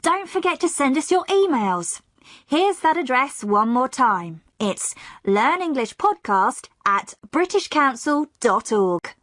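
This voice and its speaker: Female co-host, scripted delivery, high voice, slightly slow, dry studio sound. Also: sing-song, fairly bright tone, no room sound. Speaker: podcast co-host. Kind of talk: scripted podcast presenting.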